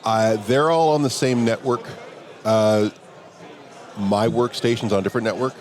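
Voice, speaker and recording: A man with a big voice, a security professional telling a relaxed story during a hallway chat at a conference. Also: medium pitch, normal speed. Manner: relaxed anecdotal telling, quoting others in dialogue. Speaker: interview guest, security professional. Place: outdoors at a conference venue.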